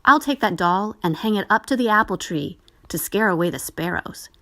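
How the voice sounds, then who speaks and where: A woman's voice: medium pitch, lively intonation, moderate pace, a bit bright. A woman voicing a restless, mocking young schoolboy in a storybook reading, with a raised, impish voice. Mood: playful.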